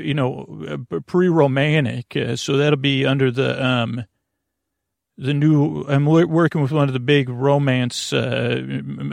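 A gravelly-voiced man hosts a sleep podcast, drifting from thought to thought in a halting, unhurried ramble. He keeps catching and correcting his own words. The sound is dry and close, with no room around it. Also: medium pitch, no room sound. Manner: meandering, halting, self-correcting, drowsy. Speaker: podcast host. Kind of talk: rambling bedtime podcast intro.

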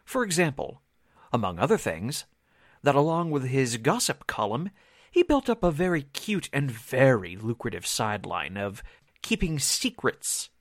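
A man's voice: medium pitch, huge pitch swings, dry recording. A man playing a catty, knowing insider in an old radio mystery. He is arch and sarcastic, with swooping intonation, recorded close and dry. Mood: sly, insinuating. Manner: arch, sarcastic, drawn-out insinuation. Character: smug, catty male columnist's assistant, a knowing insider. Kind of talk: 1940s radio drama acting.

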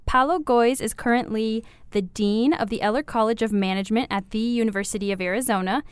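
A woman's voice: on the high side, brisk, clean tone, dry recording. A woman co-hosting an interview show, reading a polished, upbeat introduction of the guest. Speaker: podcast co-host.

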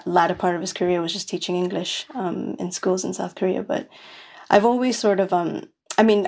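A woman's voice: lowish voice, vocal fry, darkish tone. A woman from New York City, a podcast guest, talking in a rambling casual way with many fillers and restarts. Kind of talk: casual interview chat.

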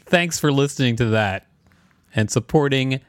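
A man's voice: medium pitch, moderate pace, dry recording. A man co-hosting a podcast, reading an article aloud with casual banter. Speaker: podcast co-host.

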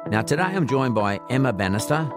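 A man's voice: medium pitch, sing-song, fairly quick, loud music behind the voice. A man with an Australian accent hosting a conversational interview podcast in a blunt, casual, probing manner. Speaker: podcast host.

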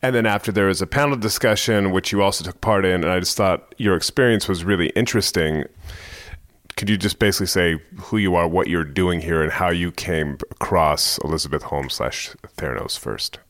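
A man interviewing a guest, asking measured, prompting questions in conversation. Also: low voice, fairly bright tone.

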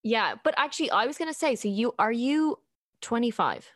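A woman in her late twenties, a stand-up comic hosting a casual podcast chat, friendly and quick to relate. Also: on the high side, very animated, a bit bright, no echo, studio quality. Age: 20s. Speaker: podcast host and stand-up comedian.

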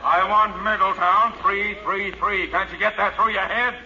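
An actor playing an insistent, frustrated and impatient telephone caller in a 1940s radio comedy. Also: male voice, high-pitched, little pitch movement, normal speed. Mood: exasperated, desperate. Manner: insistent, exasperated. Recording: very noisy, dull 1940s radio recording.

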